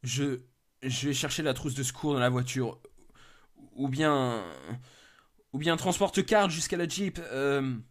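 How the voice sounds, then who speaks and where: A man's voice: medium pitch, measured pace, close to an everyday voice. A man voicing an anxious young rescuer in an amateur horror story, casual and hesitant in manner. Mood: worried.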